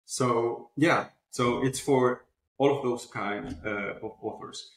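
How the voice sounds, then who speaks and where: A man's voice: medium pitch, slow. Man explaining things in an echoey room. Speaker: podcast guest, company founder. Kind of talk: casual interview explanation. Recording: roomy, echoing sound.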